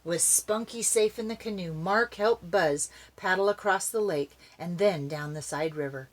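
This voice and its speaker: A woman's voice: fairly low pitch, measured pace. An older woman reading a children's story aloud expressively, adding chatty asides to her young listeners. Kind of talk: animated read-aloud storytelling.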